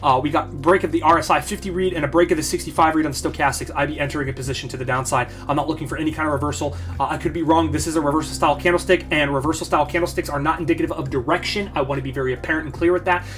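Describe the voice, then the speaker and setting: A man hosting a market analysis channel, slightly hoarse, talking bluntly and emphatically with lots of fillers and repeated phrases. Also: on the high side, fairly even intonation, rapid-fire, few pauses, a bit bright. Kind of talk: casual chart analysis talk.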